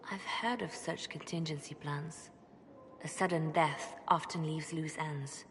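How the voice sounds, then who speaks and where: Low-voiced spymaster woman in reverberant hall. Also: fairly even intonation, measured pace. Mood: guarded, serious. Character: composed, devout woman spymaster, guarded and pragmatic. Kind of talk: video game cutscene dialogue. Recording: long reverb, faint background noise.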